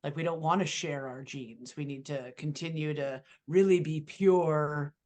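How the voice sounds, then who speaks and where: A woman with a fairly low voice chats casually among friends. She thinks out loud and tosses in rhetorical questions as she goes. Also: steady tone, unhurried. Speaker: book club podcast co-host. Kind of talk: casual chat.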